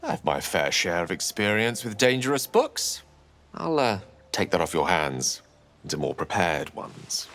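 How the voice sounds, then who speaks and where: A man's voice: low voice, sing-song, unhurried, a bit bright, full character voice. An actor playing a learned, courteous male adventurer in a video game, polished and self-assured. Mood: smoothly insistent. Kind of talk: video game character acting.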